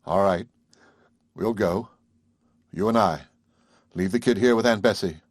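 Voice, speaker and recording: A man voicing a calm, steady country doctor speaking plainly to his wife. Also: fairly low pitch, unhurried, dry recording, clean studio sound. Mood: soothing.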